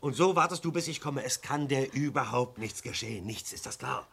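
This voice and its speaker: A man's voice: mid-range voice, brisk, a bit bright, slightly rough. An actor playing an anxious, commanding husband who repeats clipped instructions to his terrified wife in a crime radio drama. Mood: soothing, pleading. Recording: old radio play, faint noise.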